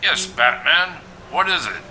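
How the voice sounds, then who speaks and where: An actor playing a gruff, businesslike police commissioner in an audio drama, speaking in an official manner. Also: male voice, a little high, sing-song, measured pace, sharp tone, a little hiss or hum, heavily acted, poor audio.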